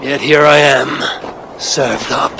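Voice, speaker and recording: An actor voicing a weary, stern old Norse god-king in a video game, grave and terse in a gravelly voice. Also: mid-range voice, fairly even intonation, slow pace, very noisy. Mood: cold, confronting. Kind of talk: dramatic video game voice acting.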